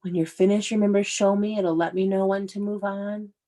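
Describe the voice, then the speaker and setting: A woman's voice: mid-range voice, steady tone, a little fast, dark tone. Female primary teacher, mid-range voice, encouraging, leading an interactive class for young children. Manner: encouraging, praising, instructing step by step. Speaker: elementary school teacher.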